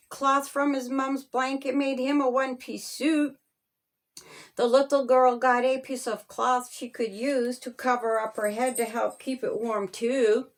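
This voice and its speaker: A woman reads a rhyming children's storybook aloud at a very slow pace. Her voice sits fairly high, and her phrasing is singsong and deliberate, as if for young listeners. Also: a bit bright. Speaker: author reading own children's story.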